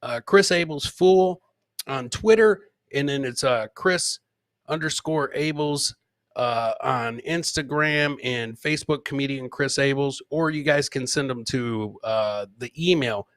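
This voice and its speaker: A man's voice: mid-range voice, a bit bright, no echo. A man hosting a podcast, chatting casually and informally with swearing and plenty of filler words. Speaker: podcast host. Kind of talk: casual live comedy chat, reading and answering viewer comments.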